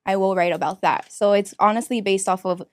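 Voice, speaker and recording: A woman's voice: mid-range voice, fairly even intonation, brisk, no room sound. A young woman from Miami, a singer-songwriter guest, answering interview questions casually in a studio with many fillers and restarts. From Miami, United States. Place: podcast studio.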